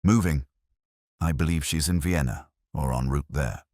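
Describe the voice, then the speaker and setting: A man's voice: very deep voice, moderate pace, darkish tone, dry recording, studio quality. A man playing a resolute Victorian detective in a storybook reading, terse, solemn and formal. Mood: grave resolve.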